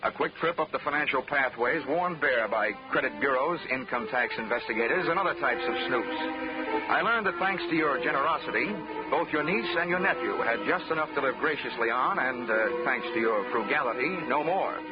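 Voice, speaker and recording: A man's voice: medium pitch, animated, normal speed, natural voice. An actor playing a wisecracking insurance investigator who narrates his case in a wry, polished 1940s radio drama style. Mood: wry narration. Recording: dull old radio recording, music behind.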